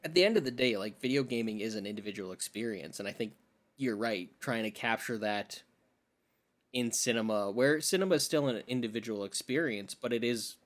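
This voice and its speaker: A man's voice: on the low side, fairly quick. A man co-hosting a podcast, chatting casually and giving his opinions in a conversational way. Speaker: podcast co-host.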